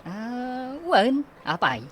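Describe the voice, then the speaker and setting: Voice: medium pitch, big pitch swings, drawn-out words, fairly bright tone, slight background noise, exaggerated voice. A performer playing a stern male school official who questions a visitor, speaking in a radio drama.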